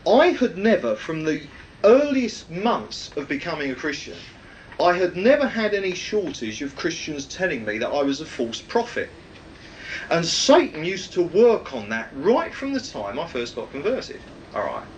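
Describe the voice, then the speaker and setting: A man with a loud, projecting voice, preaching a rambling, anecdotal sermon with many fillers. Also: fairly high pitch, big pitch swings, moderate pace, a little hiss or hum, low-quality recording.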